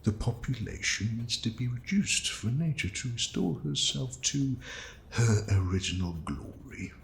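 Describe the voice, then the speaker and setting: An actor playing a cold, fanatical scientist villain who speaks with menacing deliberation and a gravelly creak in an audio drama. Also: male voice, mid-range voice, expressive, unhurried, slight background noise. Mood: bitter, grandiose. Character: cold fanatical male scientist villain bent on culling humanity. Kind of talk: audio drama monologue and dialogue.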